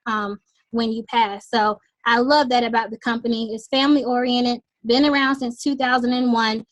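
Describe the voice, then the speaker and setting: A woman hosting a team sales call in an upbeat pitch, her voice a little raspy from losing it, with lots of "um" fillers. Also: a bit bright, no room sound, poor audio. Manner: enthusiastic, frequent "um" fillers.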